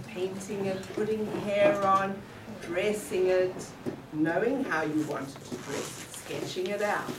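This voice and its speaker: A woman speaking as a teacher, introducing a show to an audience in a measured, deliberate way with pauses. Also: lowish voice. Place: echoey indoor room at a school event. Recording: roomy, distant mic, clearly noisy.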